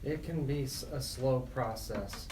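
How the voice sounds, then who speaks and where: A man hosting a live radio interview, putting questions in halting, measured phrases. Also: mid-range voice. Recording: echoey room, distant mic, noisy.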